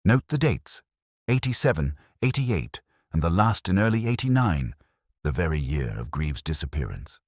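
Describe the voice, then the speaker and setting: A man voicing a coolly logical, commanding Victorian gentleman detective in an audiobook, deliberate and formal. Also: low voice, very animated, no echo, clean studio sound, full character voice. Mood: intent, analytical. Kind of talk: audiobook character dialogue.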